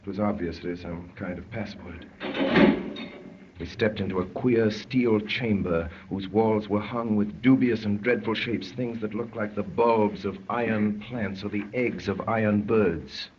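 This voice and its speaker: A man acting a courteous, wry gentleman poet who narrates his strange adventure in measured, literary phrases, in 1930s radio drama style. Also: lowish voice, a little hiss or hum, natural voice. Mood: grave, wondering. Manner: measured, literary, dryly polite. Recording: muffled, worn 1930s radio recording.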